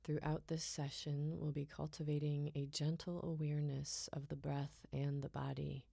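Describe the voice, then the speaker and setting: A woman leads a guided relaxation in a low, mellow voice with very heavy creak. She speaks softly and soothingly, letting phrase endings fade into breathy near-whispers. Her delivery is unhurried and gentle. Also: little pitch movement, no room sound, studio quality. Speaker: yoga nidra guide.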